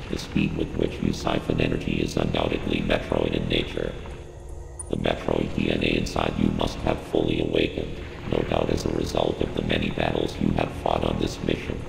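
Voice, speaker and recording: A man voicing a cold military AI computer advisor in a video game, giving scripted briefings with deliberate, emotionless delivery and synthetic processing. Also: extremely low pitch, monotone, vocal fry, full character voice. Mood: grave, detached. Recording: echoey hall reverb, loud ambient hum.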